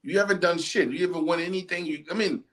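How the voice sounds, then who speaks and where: A man co-hosting a casual football chat, animated and laughing, often mimicking people in quotes. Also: sits high, fast talker, a little hiss or hum. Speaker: podcast co-host.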